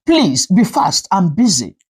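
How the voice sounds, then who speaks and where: Woman acting a husky, haughty rich mother. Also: fairly low pitch, very animated, unhurried, a little mellow, exaggerated voice. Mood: irritated. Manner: curt, haughty, snapping. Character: rich, proud, irritable, neglectful mother.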